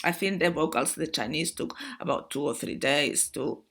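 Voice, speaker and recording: A woman with a Spanish accent talks to her viewers in an excitable, rambling monologue, calling them guys and often restarting her words. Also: sits low, normal speed, slightly rough. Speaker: spiritual video host.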